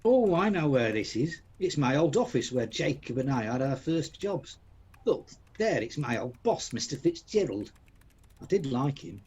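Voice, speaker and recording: A man's voice: medium pitch, very animated, normal speed, a little mellow, breathy edge. A man acting a bitter, regretful old miser, haunted and pleading, in an amateur radio play. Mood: nostalgic. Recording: dull home video-call recording, background noise.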